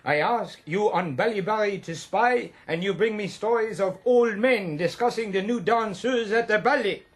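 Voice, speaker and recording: Male actor as stern Germanic army officer, radio play: high-pitched, imperious, inverted phrasing, German accent. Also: normal speed, close to an everyday voice. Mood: angry scolding. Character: stern, officious young Prussian army captain. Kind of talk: radio drama acting.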